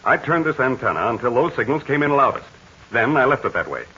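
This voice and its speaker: An actor playing a calm heroic pilot who patiently explains and gives orders, in 1940s radio acting on a dull, hissy vintage recording. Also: male voice, mid-range voice, quick pace, husky, heavily acted. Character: calm, steady adult male hero pilot.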